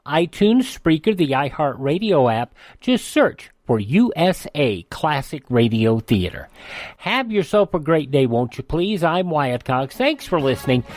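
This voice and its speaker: Animated male radio host, dry studio sound. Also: a little high, a little slow, dry recording. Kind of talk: scripted radio presenting. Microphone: close studio microphone.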